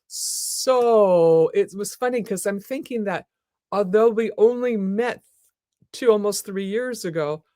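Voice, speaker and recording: Woman talk show host, gushy and exclaiming, medium pitch, pretty dry recording. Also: slow, mellow, no echo. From military family, lived partly in Germany as a child. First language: German. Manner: effusive, exclaiming. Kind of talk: live interview before an audience.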